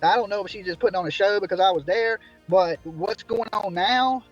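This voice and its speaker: High-voiced man ranting on a livestream. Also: fairly quick, muffled recording, soft background music. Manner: indignant, ranting, repeats "right?". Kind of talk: heated livestream commentary.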